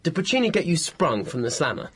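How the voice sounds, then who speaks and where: A man's voice: a little high, very animated, quick pace, a bit bright, breathy edge, exaggerated voice. An actor playing a loyal, drily witty male sidekick in a radio drama, with an earnest, wry manner. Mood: wry, coaxing.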